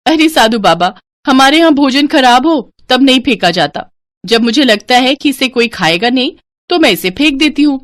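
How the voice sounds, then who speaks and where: A woman's voice: high voice, animated, normal speed, pitched above the performer's own voice. A woman voicing a spoiled, wasteful young wife who answers back dismissively. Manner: dismissive, raised voice.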